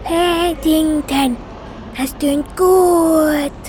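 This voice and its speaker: High-voiced polite little bear played by a female. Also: fairly even intonation, extremely slow, a little mellow. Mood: pleased. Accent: Swiss German. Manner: polite, hesitant. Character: small polite bear from Peru, earnest, childlike stowaway. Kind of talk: amateur radio play acting. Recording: faint background noise.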